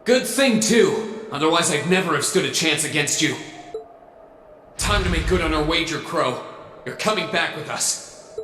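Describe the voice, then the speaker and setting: An actor playing an earnest, stubborn young student hero and knight pilot in a video game cutscene. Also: male voice, fairly high pitch, expressive, moderate pace, pauses often, pushed and bright. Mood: determined. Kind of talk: English dub video game cutscene acting. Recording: roomy echo, faint music behind.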